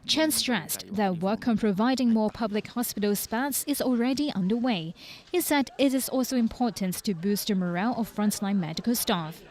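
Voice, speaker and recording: A woman's voice: a little high, normal speed, a little mellow. A woman narrating a scripted news package as a reporter.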